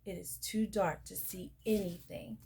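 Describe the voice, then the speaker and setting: A young woman reading a picture book aloud to children, sing-song and pausing to ask the listener questions. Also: on the low side, steady tone, vocal fry, background noise. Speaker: storyteller.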